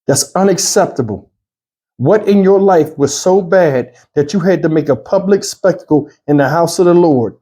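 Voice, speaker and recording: High-voiced, exasperated male commentator. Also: warm tone. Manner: emotional, exasperated, pleading. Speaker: YouTube news commentator. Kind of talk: off-the-cuff opinion commentary to viewers.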